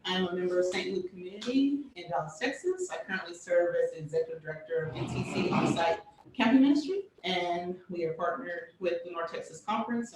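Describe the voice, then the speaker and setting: A young woman introducing herself on a church panel, relaxed and quick to laugh. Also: on the low side, slight background noise. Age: young adult. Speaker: panelist, camp ministry director. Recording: echoey room, distant mic.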